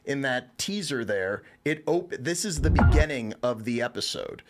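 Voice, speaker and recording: A man's voice: mid-range voice, animated, normal speed, music in the background. A man giving a casual, conversational review as a show's reviewer, rambling informally. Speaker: reviewer on a show.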